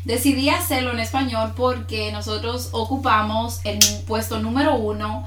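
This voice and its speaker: A woman with a Dominican accent talks casually to her listeners as a podcast host. Her voice sits a little high, and she laughs often from nerves. The room adds a clear echo to her voice. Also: steady tone, moderate pace. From born in New York, raised in the Dominican Republic. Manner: nervous, laughs often while talking. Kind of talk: casual personal monologue.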